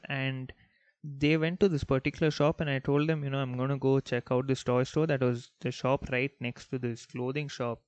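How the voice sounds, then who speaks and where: A man's voice: medium pitch, dry recording. Young man telling casual stories. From Bangalore, India. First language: Malayalam. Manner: relaxed, rambling, many fillers like "like" and "you know". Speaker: podcast host. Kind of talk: casual storytelling monologue.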